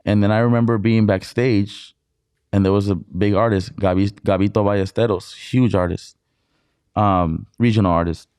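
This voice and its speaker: A man from Mexicali, a DJ guest on an interview show, telling stories in excited, casual talk full of filler words and swearing. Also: fairly low pitch, normal speed, clear, resonant tone, no echo, studio quality. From Mexicali, Baja California, Mexico.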